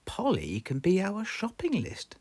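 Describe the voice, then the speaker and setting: Elderly granny pig, storybook, acted by the reader: kindly, doting, raised pitch. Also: huge pitch swings, unhurried, a little mellow, slightly rough, heavily acted. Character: kindly old grandmother pig, doting and cheerful.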